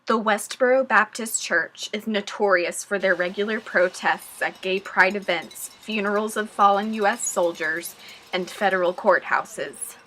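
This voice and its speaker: Bright-voiced young woman news reporter. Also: mid-range voice, slight background noise, low-quality recording. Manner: clear, measured reporter delivery. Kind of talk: news reporting and voice-over narration.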